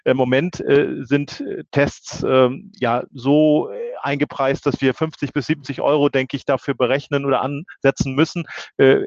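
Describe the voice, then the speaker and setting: A male interviewer asks long, formal questions, often pausing with fillers as he searches for words. His voice sits at an ordinary pitch and sounds completely dry. The recording is dull and lo-fi. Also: gravelly, no echo. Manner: formal but hesitant, many fillers.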